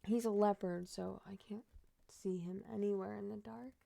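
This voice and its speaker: A woman in her thirties chatting casually on a stream, rambling with frequent laughs and asides, sometimes dropping to a soft murmur. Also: medium pitch, steady tone, normal speed, creaky. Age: 30s. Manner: drops to a soft murmur when talking to her pet. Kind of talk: casual stream chat reacting to a true crime case.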